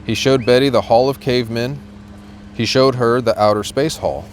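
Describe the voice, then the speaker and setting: A man's voice: mid-range voice, steady tone, moderate pace, a little hiss or hum. A middle-aged American man reading a children's storybook aloud as a guest reader. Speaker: guest reader, police officer.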